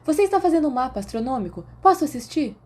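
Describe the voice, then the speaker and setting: A woman puts on an eager younger schoolboy for an audiobook. The voice is pitched up, excitable and insistent, full of admiration. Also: fast. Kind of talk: audiobook character acting.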